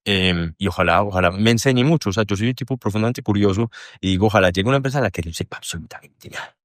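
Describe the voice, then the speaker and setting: A low-pitched young man with a Colombian accent, speaking as a podcast guest. He rambles and circles back, mocking himself and swearing freely. The sound is dry and close, with no room around it. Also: very fast, no room sound. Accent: Colombian Spanish. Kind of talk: candid casual conversation.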